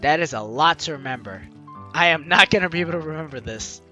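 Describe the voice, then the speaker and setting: A young woman with a bright, forceful voice and very lively intonation. She reads game text theatrically and drops in playful, chatty commentary. Faint music sits behind her. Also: low-pitched, fast talker. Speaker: gaming YouTuber, host. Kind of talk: let's play, reading game text aloud with commentary.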